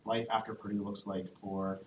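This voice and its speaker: A man working as an admissions officer who hosts a live Q&A panel and explains things in a friendly way, with frequent "um" pauses. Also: lowish voice, flat delivery. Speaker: assistant director of international undergraduate admissions. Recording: echoey room, distant mic, faint background noise.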